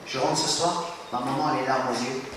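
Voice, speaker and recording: A man giving an animated talk to a school audience, questioning them and acting out little scenes. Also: mid-range voice, a little fast. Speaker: speaker addressing students. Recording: echoey hall from far off, noisy.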